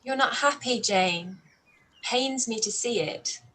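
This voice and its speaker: A woman's voice: a little high, takes it slowly, bright, forceful tone, heavily acted, low-quality recording. A woman voicing a witty, sensible young woman in a live-read radio play, dry and witty. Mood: gentle.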